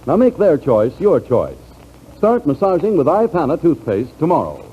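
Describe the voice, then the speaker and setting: A man's voice: a little high, huge pitch swings, moderate pace. Old-time american radio announcer guy, swooping delivery, dull faintly hissy recording. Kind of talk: 1940s scripted radio announcing and advertising.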